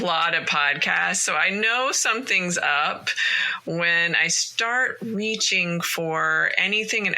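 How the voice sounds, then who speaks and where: Woman podcast host, slow and gentle, bright tone, recorded in a home closet. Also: lowish voice, poor audio. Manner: calm, gentle, encouraging. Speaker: podcast host and life coach. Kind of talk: casual solo podcast monologue.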